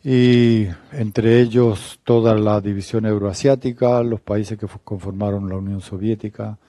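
Older Chilean-born man, deep mellow voice. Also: steady tone, dry recording. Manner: measured, unhurried, many hesitations. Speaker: guest theologian. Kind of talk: interview answers.

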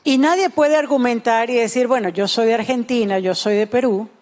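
Female interpreter, fairly high voice, rendering a sermon phrase by phrase. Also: brisk, a little mellow.

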